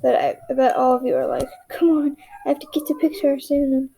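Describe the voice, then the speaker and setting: A young woman narrating a casual drawing tutorial in a monotone, rambling way, often dropping to a whisper as she works. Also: high-pitched, fairly quick, vocal fry, slight background noise, low-quality recording. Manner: monotone, rambling, self-deprecating.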